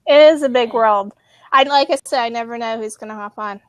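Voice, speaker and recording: A woman's voice: a little high, a little fast, clear, resonant tone, dry recording, muffled recording. A woman hosting a casual live video chat, talking loosely with many ums and frequent laughs. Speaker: host.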